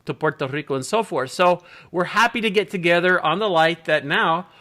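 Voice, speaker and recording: Bright-voiced male interviewer on a video call. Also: high voice, a little fast. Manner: friendly, enthusiastic introductions. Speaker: interviewer for a bass magazine. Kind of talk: casual remote interview.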